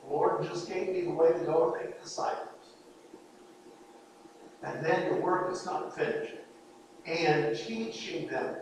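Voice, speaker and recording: A man with a high voice preaches slowly and deliberately, earnest and pleading as he drives his points home with repetition. He sounds far from the mic, his words ringing through a big echoey hall over faint room ambience. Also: a little mellow, slight background noise. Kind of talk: sermon.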